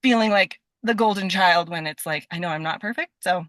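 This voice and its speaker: Bright-voiced young American woman, chatty and rambling. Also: on the low side, a little fast, no echo, studio quality. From Nevada, United States. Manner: effusive, rambling, peppers talk with "like". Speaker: podcast guest, stage and voice actor. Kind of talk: casual chat.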